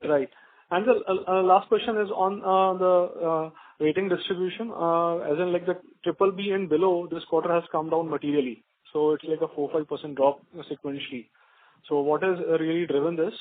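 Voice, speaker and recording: Polite guy analyst asking stuff on a call, pretty high voice, phone-y sound. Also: fairly even intonation, a little fast. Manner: polite, deferential. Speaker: analyst caller. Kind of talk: spoken questions on earnings call. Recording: narrow radio-like phone line.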